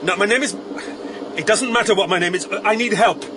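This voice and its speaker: A man's voice: high voice, fairly quick, background noise, lightly acted. An actor playing a desperate, frightened man pleading urgently to be heard in an audio drama.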